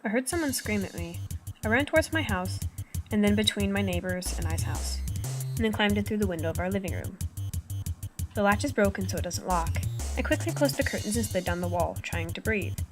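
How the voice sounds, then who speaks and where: A woman's voice: mid-range voice, animated, fairly quick, natural voice. A woman as anxious, sarcastic teenage boy narrator, music behind. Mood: narrating. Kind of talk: first-person narration in an amateur fan comic dub. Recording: amateur old-device recording, music behind. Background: video game music.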